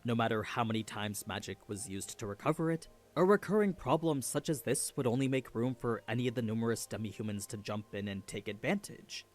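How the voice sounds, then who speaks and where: A man's voice: medium pitch, a little fast, hardly any pauses, faint music behind. A man reading a scripted story recap as a narrator.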